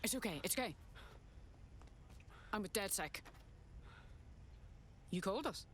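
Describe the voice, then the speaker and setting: An actress playing a bold, sceptical resistance hacker in a video game, speaking in a blunt, wry way. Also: female voice, mid-range voice, lively intonation, unhurried, a little hiss or hum, close to an everyday voice. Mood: wry, firm. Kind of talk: dramatic video game acting.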